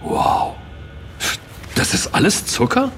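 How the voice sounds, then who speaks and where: A man playing an irreverent, easily amazed young adult in an audio drama, talking in a casual, expressive way. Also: slow, slight background noise. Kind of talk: German audio drama dialogue.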